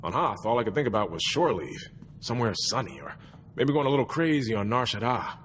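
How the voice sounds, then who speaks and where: An actor playing an easygoing, chatty and wry young army soldier in a video game, speaking in a musing, conversational way. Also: male voice, medium pitch, sing-song, fairly quick, fairly bright tone. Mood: chatty, upbeat. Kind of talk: video game cutscene dialogue.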